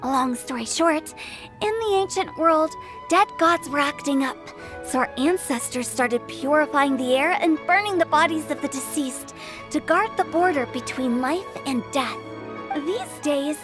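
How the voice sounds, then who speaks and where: A woman's voice: high-pitched, expressive, measured pace. A woman voicing a mischievous, theatrical young funeral director in a video game, teasing and wordy as she talks. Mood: breezy, explaining. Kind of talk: video game character dialogue. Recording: game music behind.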